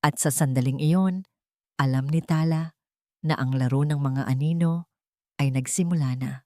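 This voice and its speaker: A woman's voice: low-pitched, normal speed, dark tone, no echo, clean studio sound. A woman reading a dramatic scripted audiobook story as its narrator.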